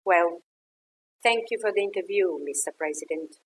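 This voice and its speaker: A woman's voice: lowish voice, normal speed, natural voice, poor audio. A woman playing a challenging journalist in an audio drama, formal, pointed and insistent as she questions a politician. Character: firm, challenging female journalist interviewing a politician. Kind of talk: audio drama acting, news interview.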